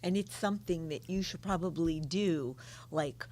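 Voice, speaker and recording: A woman's voice: fairly low pitch, moderate pace, darkish tone. A woman hosting a casual interview, asking her guest questions in a chatty style. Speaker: interviewer, host.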